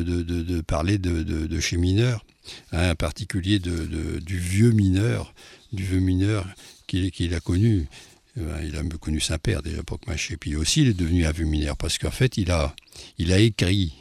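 A man giving an informal talk to an audience, halting and chatty, often tagging his phrases with "hein". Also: low-pitched, gravelly, a little mellow, breathy edge, no room sound. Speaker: speaker presenting a dialect poet.

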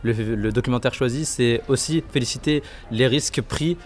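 A man's voice: medium pitch, moderate pace. A teenage boy on a student jury, answering earnestly and often restarting words. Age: teens. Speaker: student juror. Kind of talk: interview answers.

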